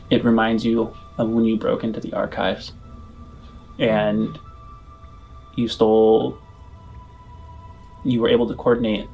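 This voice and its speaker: A man's voice: on the low side, vocal fry, darkish tone, low-quality recording. Man narrating a tabletop game over music. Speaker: game master.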